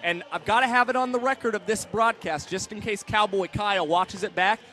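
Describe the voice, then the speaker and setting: A man's voice: high-pitched, brisk, pushed and bright. A man co-hosting a baseball broadcast, friendly and joking. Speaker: broadcast co-host. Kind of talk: pregame broadcast commentary.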